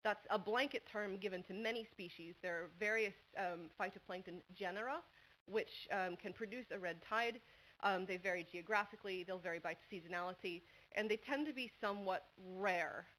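A woman in her thirties from New England, with a New England American accent, giving a quick, chatty conference talk full of ums at a panel desk mic. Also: medium pitch, fairly bright tone, slight background noise, low-quality recording. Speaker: conference speaker. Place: large university lecture hall. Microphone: table microphone at a panel desk.

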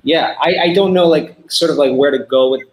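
A man in his thirties from Puerto Rico, talking earnestly with many restarts on a video call. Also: a little fast. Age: 30s. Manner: earnest, many restarts, rising pitch when making a point. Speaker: panelist. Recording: roomy video call audio.

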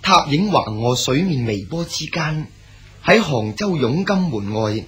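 An actor with a Cantonese accent playing a dutiful adult son who speaks politely and deferentially. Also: male voice, fairly high pitch, very animated, a little slow, a bit bright, slightly rough, exaggerated voice. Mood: respectful.

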